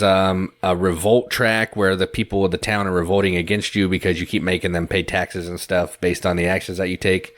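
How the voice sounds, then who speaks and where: A man co-hosting a board game podcast, casually running through the news in an easygoing, step-by-step way. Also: lowish voice. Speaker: podcast co-host. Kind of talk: casual chat, news rundown.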